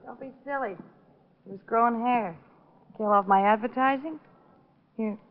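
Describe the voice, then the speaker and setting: An actress playing a composed, dryly flippant shop owner in an old-time radio crime drama. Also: female voice, on the high side, moderate pace, lightly acted. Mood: dry, casual. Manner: composed, dry. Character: cool, flippant woman running a hair-treatment shop. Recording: thin, narrow vintage radio recording.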